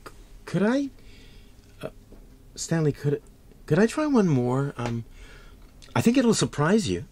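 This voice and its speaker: An actor playing an eager, chatty voice actor in a radio drama, halting and stammering as he talks. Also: fairly high pitch, sing-song, a little mellow, slight background noise. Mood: hesitant, hopeful. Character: eager, nervous, chatty young male voice actor. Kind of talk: naturalistic radio drama acting.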